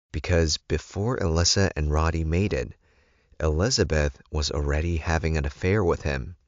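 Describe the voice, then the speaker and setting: A man's voice: rumbling low, no room sound, dull audio. A man reading first-person fiction aloud as a scripted audiobook narration.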